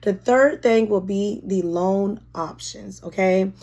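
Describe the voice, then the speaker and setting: Hoarse, chatty woman realtor. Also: medium pitch, slow pace. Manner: chatty and warm, with frequent ums and restarts. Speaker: real estate agent. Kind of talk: casual explainer video.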